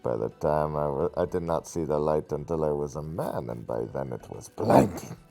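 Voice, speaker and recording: A man doing a menacing, theatrical villain impression with slow, ominous, drawn-out phrasing during a game stream. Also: rumbling low, animated, vocal fry, mellow, soft background music, pitched below the performer's own voice, exaggerated voice.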